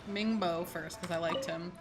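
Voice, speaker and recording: A woman's voice: lowish voice, fairly even intonation, normal speed. Slangy woman streamer over game music. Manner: informal, slangy, outspoken. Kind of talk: casual gameplay commentary, reacting and reading aloud.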